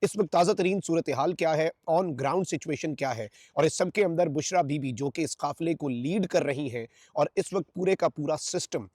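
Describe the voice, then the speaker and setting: A man's voice: on the high side, moderate pace, hardly any pauses, a little mellow, dry recording, studio quality. A man with a Pakistani accent delivering news commentary to viewers as a channel host, in an emphatic, repetitive way.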